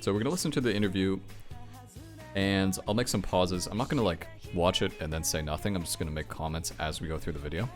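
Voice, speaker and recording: A man's voice: low-pitched, fast talker, soft background music. A man giving casual, rambling, off-the-cuff reaction commentary on video games, thinking aloud and speaking frankly. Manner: rambling, self-questioning, frank with some swearing.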